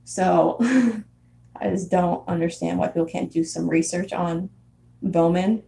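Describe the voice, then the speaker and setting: A woman in her twenties from San Diego, chatting casually with viewers as a vlogger, laughing often and filling pauses with "um" and "like". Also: fairly low pitch, fairly even intonation, vocal fry, warm tone. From San Diego, California. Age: 20s. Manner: chatty, frequent laughs and fillers. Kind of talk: casual live chat reading comments. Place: house in Arizona.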